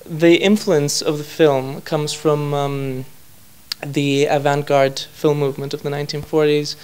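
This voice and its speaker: A man's voice: a little high, flat delivery, a little slow, a little mellow, clean tone. A man from Greece, a filmmaker speaking hesitantly and thoughtfully in an informal interview. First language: Greek. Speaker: filmmaker interviewed at a film festival.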